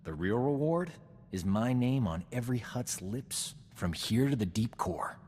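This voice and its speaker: An actor playing a vain, flirtatious, smooth-talking doctor in a video game. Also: male voice, mid-range voice, animated, unhurried, darkish tone, in a large hall. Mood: boastful. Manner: cocky, self-admiring, smooth-talking. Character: vain, flirtatious male doctor, boastful charming rogue. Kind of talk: video game cutscene acting.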